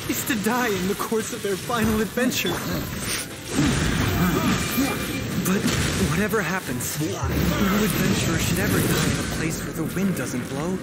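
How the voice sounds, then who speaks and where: An actor playing an eager, naive young novice adventurer in a video game, earnest and wide-eyed. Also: male voice, high-pitched. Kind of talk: English video game voice acting. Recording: background music under dialogue.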